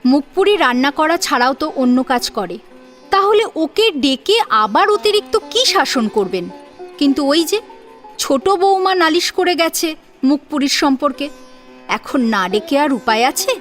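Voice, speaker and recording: A woman narrates a dramatic audio story. Her voice is very high and moves widely as she poses expressive rhetorical questions. Music plays faintly underneath. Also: a little slow.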